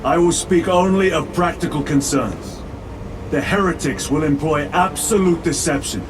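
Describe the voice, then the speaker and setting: An actor playing a steadfast, practical space-soldier lieutenant, measured and resolute, in a game cutscene. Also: male voice, fairly high pitch, noisy background, lightly acted. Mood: solemn rallying. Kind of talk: dramatic game cutscene acting.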